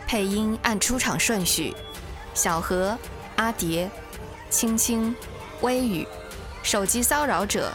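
A woman hosting a podcast, giving an informal outro and credits as the creator of the show. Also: medium pitch. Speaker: podcast host and drama creator.

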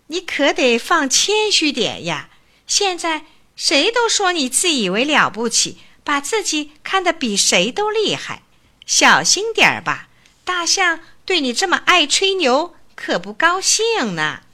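A woman voicing an experienced older female mouse gently advising her nephew in a storybook. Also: high-pitched, huge pitch swings, unhurried, pitched above the performer's own voice, full character voice. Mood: warning. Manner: coaxing, advising.

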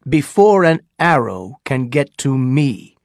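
A man's voice: fairly high pitch, animated, extremely slow, no room sound, clean studio sound, full character voice. A man doing a firm colonial captain. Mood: threatening. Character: bold male colonial captain, steady and commanding.

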